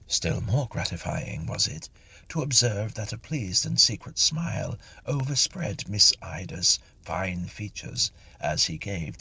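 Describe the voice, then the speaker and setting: A man narrates a ghost story with theatrical care. His voice is deep and gravelly, with a bright, forward edge. He shapes each phrase precisely, like a practised literary storyteller.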